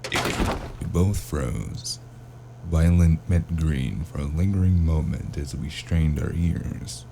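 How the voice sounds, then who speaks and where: A man plays a hard-boiled noir detective with an extremely deep, gravel-edged voice and a dark tone. He tells his story with wry weariness, as in an audio drama, over a faint hum. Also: takes it slowly, heavily acted. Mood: wry narrating. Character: hard-boiled male cyberpunk noir investigator with magic, wry and weary. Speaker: narrator and lead character.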